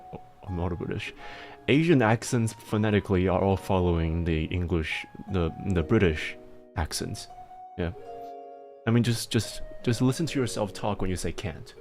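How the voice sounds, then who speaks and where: A man livestreaming and chatting casually, explaining things in halting bursts with frequent restarts and laughter, speaking with a mixed accent. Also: sits low. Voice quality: self-described mixed accent. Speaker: livestreamer answering chat.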